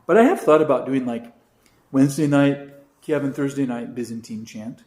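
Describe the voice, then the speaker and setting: A man who is a priest, teaching a catechism class in a relaxed, chatty way with many asides. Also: medium pitch, moderate pace. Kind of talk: informal class talk. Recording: roomy echo.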